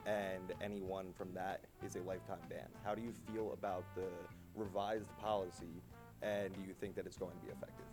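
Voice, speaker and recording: A man's voice: low voice, level tone, a little fast. A young man hosting a campus street interview, reading his questions formally. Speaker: student interviewer. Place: outdoors on a college campus. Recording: music playing underneath.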